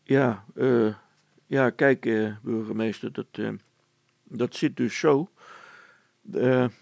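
A man voicing a practical, gruff middle-aged father in a storybook, talking colloquially with some hesitation. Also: mid-range voice, steady tone, takes it slowly, darkish tone, no echo. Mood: sheepish.

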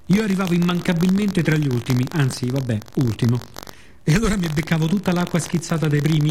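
A man's voice: on the high side, warm tone, slight background noise. A man with a Roman Italian accent performs a scripted radio monologue in a reflective, wry, conversational storytelling manner, slipping into colloquial asides. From Rome, Italy.

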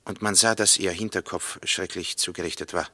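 An actor playing a male neighbour giving sober, measured testimony in a German radio play from the 1960s. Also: deep voice, fairly even intonation, pushed and bright, no room sound. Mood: grave, factual.

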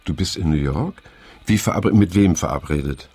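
An actor playing a weary, reflective older man in an introspective audio drama, with a gravelly voice and an unhurried manner. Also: low voice, darkish tone, no room sound. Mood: bewildered. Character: weary, unsettled older man looking back on his youth. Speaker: narrator and main character. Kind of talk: introspective audio drama narration and phone dialogue.

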